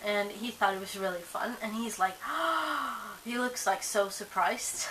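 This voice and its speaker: Bright-voiced Swedish woman, rambling vlog. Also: medium pitch, a little slow, low-quality recording. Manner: rambling, with many fillers and restarts. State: a bit sleepy. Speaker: vlogger. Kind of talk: casual chat to camera. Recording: faint hiss.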